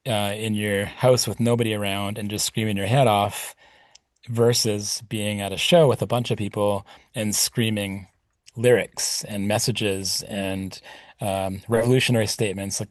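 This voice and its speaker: A man's voice: fairly low pitch, steady tone, normal speed, dry recording. A middle-aged man speaking as a podcast guest, reflective and rambling with plenty of fillers. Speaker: podcast guest, punk musician. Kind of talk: casual interview talk.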